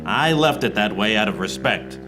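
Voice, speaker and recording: An actor playing a wisecracking, world-weary private detective in a film noir radio play parody, with a deadpan delivery. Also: male voice, on the low side, normal speed, natural voice. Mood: deadpan, brooding. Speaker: actor in lead role and narrator. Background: music behind the voice.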